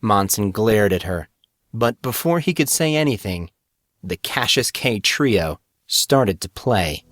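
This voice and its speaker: A man's voice: fairly low pitch, lively intonation, takes it slowly, a bit bright, no room sound. A man reading scripted fantasy fiction as the narrator of a story podcast.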